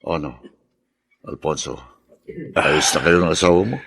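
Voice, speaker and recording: An actor with a Filipino accent playing a supportive superior officer in a melodrama, with a gravelly voice. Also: male voice, rumbling low, unhurried, fairly bright tone, heavily acted. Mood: reassuring. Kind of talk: Tagalog melodrama acting.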